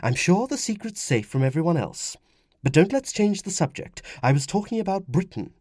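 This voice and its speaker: A man voicing a young Roman mother in an audiobook, firm, fretful and gently insistent. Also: sits high, very animated, normal speed, no echo, voice pushed up. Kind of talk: audiobook character dialogue.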